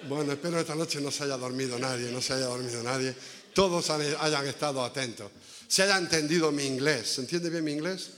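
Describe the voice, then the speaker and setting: A man's voice: medium pitch, a little fast, fairly bright tone. A man giving a conversational evangelical sermon as a visiting preacher, repeating phrases for emphasis and asking rhetorical questions. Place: inside a church. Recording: echoey church hall reverb.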